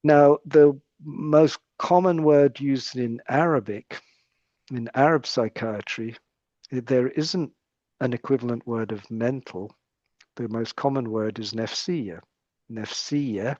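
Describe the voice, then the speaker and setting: A man's voice: medium pitch, slow pace, a little mellow, no room sound, dull audio. A British man speaking as a psychiatrist guest in an interview conversation, explaining his points in a thoughtful way and often restarting his phrases.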